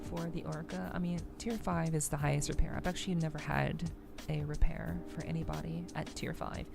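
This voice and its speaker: A soft-spoken woman hosting a livestream, chatting casually in an ASMR style with hesitant, gentle game commentary. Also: deep voice, fairly even intonation, brisk, vocal fry. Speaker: streamer, VTuber host. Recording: music playing behind the voice. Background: music.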